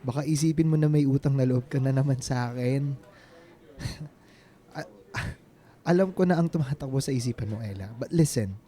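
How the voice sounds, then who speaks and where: An actor playing a devoted, reassuring suitor in a Filipino radio drama, speaking earnestly and mixing in English. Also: male voice, mid-range voice, normal speed, pauses often, warm tone, natural voice.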